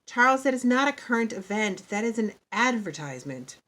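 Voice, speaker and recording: A woman's voice: on the high side, sing-song, brisk, fairly bright tone, voice pushed up. A woman voicing a prim, patient schoolteacher in an audiobook, precise, didactic and emphatic as she instructs her class. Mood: patient, correcting.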